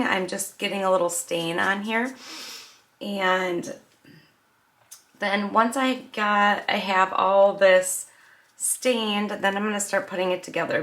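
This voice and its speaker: Chatty woman with a raspy voice from a cold. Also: medium pitch, fairly bright tone. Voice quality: slightly raspy. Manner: rambling, chatty, frequent ums and self-corrections. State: raspy from a cold, getting over a cough. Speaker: craft channel host. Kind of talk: casual crafting tutorial chat. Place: home craft table in the evening.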